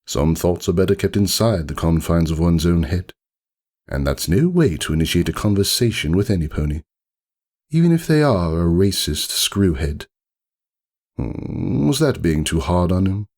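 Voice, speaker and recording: Casting: man to play haughty noble unicorn, storybook narrator, low register, sweeping intonation, theatrical and brooding. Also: animated, normal speed, darkish tone. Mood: haughty, disdainful, then wry, musing. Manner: pompous, wordy, theatrical, ruminative. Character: haughty, melancholy aristocratic male unicorn narrating his story. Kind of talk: dramatic storybook reading, first-person monologue.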